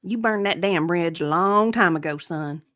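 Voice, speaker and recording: A woman playing a sharp-tongued, exasperated restaurant partner in a romance drama, brash and sarcastic. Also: lowish voice, normal speed, no room sound, clean studio sound, natural voice. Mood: hostile, indignant. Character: Justine, sharp-tongued, exasperated, sassy female kitchen partner.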